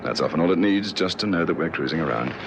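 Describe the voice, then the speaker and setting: An actor playing a gruff, commanding detective sergeant in a vintage radio drama. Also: male voice, sits low, lively intonation, fast talker. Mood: watchful, calm. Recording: old radio recording, vehicle noise.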